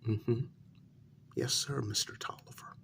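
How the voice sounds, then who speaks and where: A man playing a nervous, deferential night-shift road worker in a soft-spoken ASMR role-play, halting and polite as he gives his account. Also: mid-range voice, fairly even intonation, gravelly. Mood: uneasy, evasive. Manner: halting, deferential, with frequent pauses and polite sirs. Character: deferential, nervous night road-crew workman, adult man, hesitant witness. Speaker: ASMR performer.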